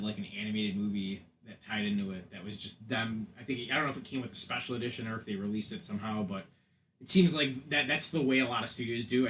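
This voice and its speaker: A man's voice: fairly low pitch, fairly bright tone, slight background noise, poor audio. A man talking casually as a podcast panelist, giving opinions and often repeating words as he thinks aloud.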